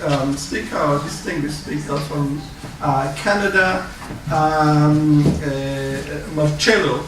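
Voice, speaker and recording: A man's voice: high voice, fairly bright tone. A man moderating a literature panel, speaking hesitantly with many fillers and friendly asides. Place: public library event hall. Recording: echoey hall, distant mic, audible noise.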